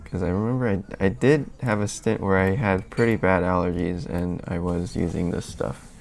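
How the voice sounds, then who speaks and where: A man's voice: low-pitched, steady tone, normal speed, creaky, a little mellow. A man hosting a live stream, chatting casually and rambling as he answers questions from chat, a little sniffly. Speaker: livestream host.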